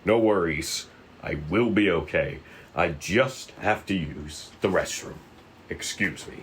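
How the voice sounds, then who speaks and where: A man's voice: deep voice, slow, slight background noise. A man voicing an uneasy husband who brushes off his worries in an understated script table read. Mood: falsely reassuring.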